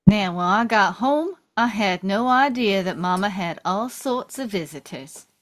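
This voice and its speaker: A woman's voice: mid-range voice, expressive, measured pace, close to an everyday voice. Woman reading as simple, sincere Southern man. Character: Forrest, simple sincere Southern man telling his story. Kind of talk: table read of a film script.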